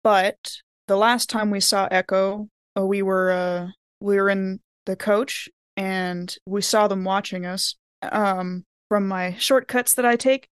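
A woman's voice: mid-range voice, fairly even intonation, takes it slowly, clear, resonant tone, no room sound. A woman takes part in a tabletop game as a player. She banters casually in character with a mid-range voice, recorded close and dry.

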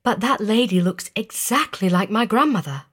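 A woman playing a quiet, uneasy young girl in an audio story, speaking in a hushed, matter-of-fact way. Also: mid-range voice, lively intonation, moderate pace, husky. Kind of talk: audio story character acting.

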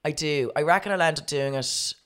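A low-pitched Irish woman in her late thirties talks in a relaxed, candid chat. She tells her stories frankly and pokes fun at herself as she goes. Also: a little fast, clear, resonant tone. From Ireland. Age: 30s. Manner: frank, self-mocking, animated storytelling.

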